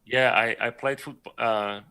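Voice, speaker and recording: A man's voice: medium pitch, steady tone, measured pace, bright, forceful tone, smooth. A man from Iran, a guest on a livestream chat, telling his story in a rambling, self-correcting way and laughing often. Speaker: guest artist.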